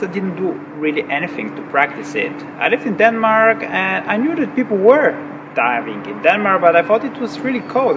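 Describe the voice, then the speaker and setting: A man with a Bulgarian accent tells his own story in a slightly high voice. He pauses often, fills gaps with "uh" and repeats words as he searches for them. He sits some distance from the mic, with steady noise behind him. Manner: halting, frequent "uh" fillers and repeated words. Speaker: recreational diver. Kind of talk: personal story told to camera.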